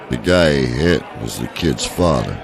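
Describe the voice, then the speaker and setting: Crude, taunting menace for horror audio drama: very deep, gravelly drawl, crowd noise behind. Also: male voice, exaggerated voice. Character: crude, menacing low-life man warning a kid. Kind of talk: horror radio drama acting.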